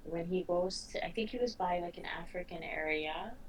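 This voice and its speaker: A woman being interviewed outdoors, giving relaxed, short, easygoing answers in a casual chat. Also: on the low side, normal speed, a little hiss or hum. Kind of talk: casual street conversation. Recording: distant mic, faint street ambience.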